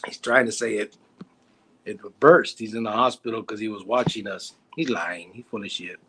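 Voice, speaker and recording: Gravelly man in his forties. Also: on the low side, fairly even intonation, moderate pace, a bit bright, low-quality recording. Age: 40s. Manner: joking, teasing, reads comments aloud. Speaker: livestream host. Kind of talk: casual livestream chat reading comments.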